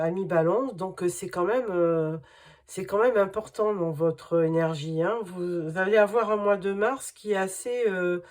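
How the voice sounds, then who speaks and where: Slow, nasal-sounding woman with a cold. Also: mid-range voice, a little mellow. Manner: hesitant, many fillers and restarts. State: has a cold. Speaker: tarot card reader. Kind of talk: monthly tarot reading, addressing viewers.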